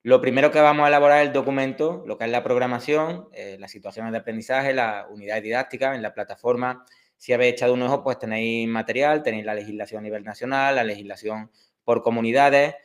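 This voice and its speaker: A man's voice: mid-range voice, steady tone, quick pace. Male teacher, 30s, Andalusian accent, hesitant, casual lecturing tone. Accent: Andalusian Spanish. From Córdoba, Spain. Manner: hesitant, many fillers and restarts. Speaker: exam prep teacher. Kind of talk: online course introduction.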